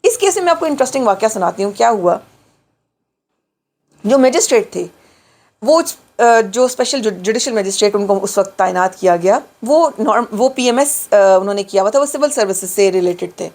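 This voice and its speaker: A woman's voice: mid-range voice, animated, fairly quick, darkish tone. A middle-aged Pakistani woman giving an explanatory lecture, emphatically repeating and rephrasing key points and mixing in English phrases. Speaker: lawyer giving a law lecture.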